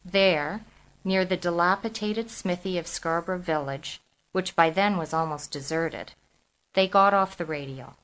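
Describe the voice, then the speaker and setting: Low-voiced Canadian woman author. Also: measured pace, a bit bright, low-quality recording. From Toronto, Canada. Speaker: author, podcast guest. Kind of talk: reading prose and talking about her book.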